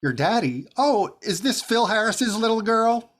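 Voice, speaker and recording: A man's voice: high voice, animated, a little slow, a bit bright, full character voice. A man playing a fussy, thrifty comedy star in the style of 1940s radio. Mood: mild, surprised.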